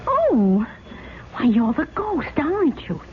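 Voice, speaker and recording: A woman's voice: sits high, huge pitch swings, takes it slowly, exaggerated voice. An actress playing an anxious, earnest woman in an old-time radio drama, breathless and pleading in a melodramatic way. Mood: polite pleading. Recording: tinny, noisy old radio recording.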